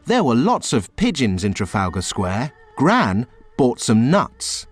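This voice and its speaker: A man reading a children's storybook aloud in a slow, very expressive storytelling way. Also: medium pitch. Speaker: storybook reader. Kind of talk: children's read-aloud narration.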